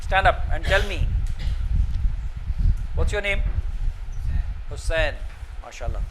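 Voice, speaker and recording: A man's voice: fairly high pitch, lively intonation, takes it slowly, background noise. A man with an Indian accent giving a motivational session to students in a classroom. He repeats each instruction and keeps checking in with "okay?". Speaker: motivational speaker.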